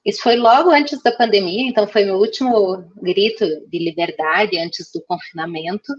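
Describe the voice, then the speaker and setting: A woman's voice: medium pitch, moderate pace, slightly rough. A young woman designer talking casually on a video call over a headset, chatty and full of fillers and restarts. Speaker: guest designer. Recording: slightly dull lo-fi call audio.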